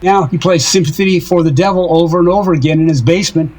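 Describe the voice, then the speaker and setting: An actor playing a lovesick, self-deprecating older married man in an audio drama, rambling wistfully and haltingly in a husky voice. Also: fairly high pitch, a little mellow, lightly acted.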